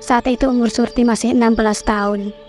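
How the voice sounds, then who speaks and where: A woman doing a calm old village maid. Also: a little high, brisk, faint music behind, lightly acted. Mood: guarded. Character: older village woman servant, calm and guarded.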